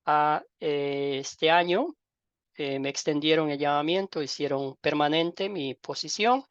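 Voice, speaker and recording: Dull call audio, halting Ecuadorian man. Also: fairly high pitch, a bit bright, smooth, no room sound. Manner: halting, repeats words, familial and fond of diminutives. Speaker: pastor teaching an online Bible class. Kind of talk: informal welcome and self-introduction to a class. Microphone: online group call microphone.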